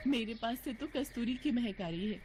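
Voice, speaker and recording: A woman's voice: fairly high pitch, lively intonation, moderate pace, a little mellow, a little hiss or hum, close to an everyday voice. A woman voicing a modest, respectful young boatman's daughter in a devotional storybook, polite and earnest. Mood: grateful. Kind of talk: dramatized devotional storybook acting. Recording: music bed behind voice.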